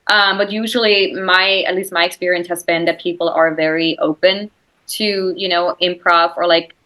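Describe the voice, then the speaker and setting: A woman's voice: lowish voice, fairly even intonation, moderate pace, sharp tone, low-quality recording. A woman with a Swiss German accent, whose first language is Swiss German, speaking as an actress guest in a casual interview, chatty and full of "like" and "you know". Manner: chatty, lots of "like" and "you know", laughs easily.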